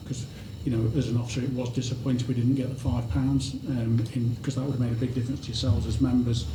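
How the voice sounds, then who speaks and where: A man, a chief fire officer, briefing a committee in a frank, matter-of-fact way. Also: mid-range voice. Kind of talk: formal briefing to committee members. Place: council meeting room. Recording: roomy chamber with background noise.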